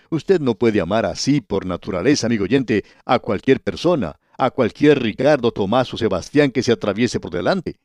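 A man's voice: medium pitch, a little mellow, dry recording. A man teaching a radio Bible study in an earnest, scripted way, often addressing the listener directly.

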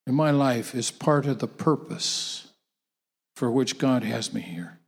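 A man preaching a sermon to a gathered audience, emphatic and repetitive, with halting restarts. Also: medium pitch, creaky, breathy edge. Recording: long hall reverb, voice off-mic.

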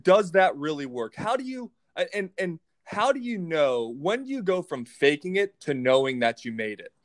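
A man's voice: fairly high pitch, big pitch swings, normal speed, no echo. Man guest, easygoing and a bit hesitant, dry close recording. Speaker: podcast guest. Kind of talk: casual conversation.

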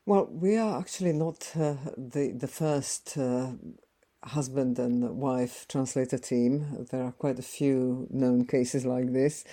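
Interview guest: woman, 60s, native Slovak speaker, mellow timbre, unhurried and reflective. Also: a bass voice, fairly even intonation. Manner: thoughtful, with frequent pauses and filler "uh"s. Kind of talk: conversational interview answers.

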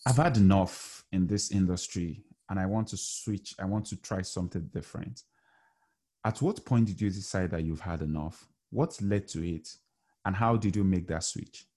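A man's voice: sits low, moderate pace, mellow. A man hosting a podcast, reading a scripted guest introduction and asking conversational questions. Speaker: podcast host. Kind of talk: podcast interview.

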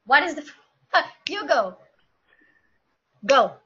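A woman whose first language is Portuguese speaks English with a Brazilian accent, pausing to think aloud as she hunts for her words. Her voice is high and her delivery unhurried. The recording sounds dull and lo-fi. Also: big pitch swings, fairly bright tone. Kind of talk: casual discussion.

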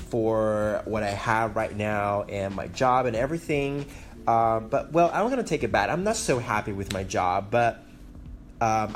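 Slow, hesitant man with a Taiwanese accent. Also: medium pitch. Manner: hesitant, many ums, self-conscious. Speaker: podcast host. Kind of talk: casual solo podcast talk. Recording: faint background noise.

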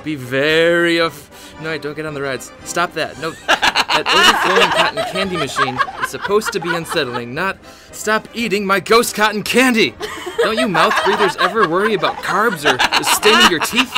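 A man's voice: high voice, very animated, normal speed, over loud music. A man playing a fussy, insecure amusement-park ghost in comedic radio theatre, sardonic, grumbling and easily flustered. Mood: frantic, exasperated.

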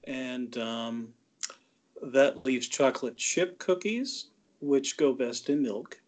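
Man, mid-pitched, easygoing co-host; measured delivery, quick to laugh. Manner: measured, laughs easily, many uh fillers. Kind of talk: casual chat.

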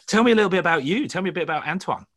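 A man hosting a podcast, chatting casually and warmly with his guest, often restarting his sentences. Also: high-pitched, lively intonation, rapid-fire. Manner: friendly, stumbling restarts. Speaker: podcast host. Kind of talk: casual interview chat.

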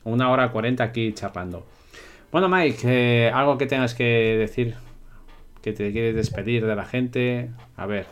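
A man's voice: medium pitch, normal speed, smooth. A Spanish man hosting a livestream podcast, easygoing as he wraps up the show. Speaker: podcast host.

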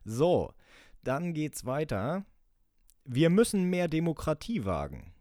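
A man's voice: fairly high pitch, slow pace, no echo, studio quality. A man co-hosting a casual podcast, reading out quotes for a quiz and laughing often. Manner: lively, laughing, reads quotes aloud. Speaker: podcast co-host running a quiz.

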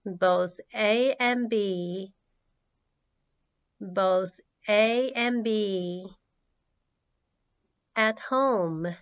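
A woman who speaks Japanese natively reads as an English teacher leading a drill. She goes extremely slowly, enunciating each word deliberately so learners can follow. Her pitch sits in a middle range for a woman. The recording is a little dull and lo-fi. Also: fairly bright tone. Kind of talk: language drill, reading words and sentences twice. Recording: slightly dull, lo-fi audio.

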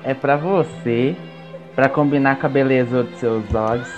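A gallant young village charmer, played by an actor woos in a mid-pitched voice. The manner is courtly radio soap romance, slightly muffled over music. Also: male voice, fairly even intonation, a little slow, natural voice. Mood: romantic. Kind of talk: comic radio soap opera acting.

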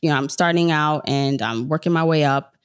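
A woman's voice: low voice, fairly quick, clear, resonant tone, no echo, clean studio sound. A woman, talking casually and informally as an interview guest, telling stories in a chatty way. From born in Jamaica, grew up in New York. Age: 30s. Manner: chatty, informal storytelling with quoted self-talk. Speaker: podcast guest, personal finance podcaster.

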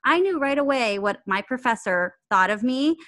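A woman's voice: a little high, expressive, normal speed, fairly bright tone. A woman guest on a podcast, talking earnestly and reflectively in casual conversation. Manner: earnest, reflective, with bursts of laughter. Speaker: podcast guest, acting coach.

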